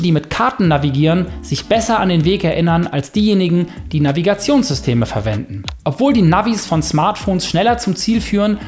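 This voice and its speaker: A man narrating his own video in a scripted voice-over, reflective and lively, with a sponsor read. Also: sits high. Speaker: YouTuber narrating a self-experiment.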